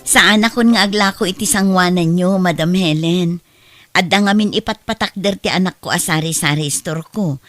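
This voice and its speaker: A woman's voice: on the low side, moderate pace, fairly bright tone, faint music behind, close to an everyday voice. A woman playing a humble, hardworking middle-aged mother in an Ilocano audio drama, with an Ilocano accent. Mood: humble, grateful.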